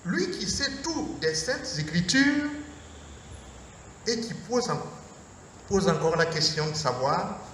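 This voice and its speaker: Man priest, high voice, slow measured sermon phrasing, heard from afar in a reverberant hall. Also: lively intonation, a bit bright. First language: Mooré. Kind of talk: Lenten lecture sermon. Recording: echoey hall from far off, faint noise.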